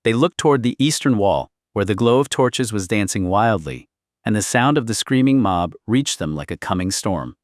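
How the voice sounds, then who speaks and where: A low-pitched man reads a historical saga as an audiobook narrator. His delivery is theatrical and intense, building suspense throughout. The sound is dry and close, with very little room in it. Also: expressive, moderate pace, dry recording. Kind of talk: dramatic scripted narration of historical fiction.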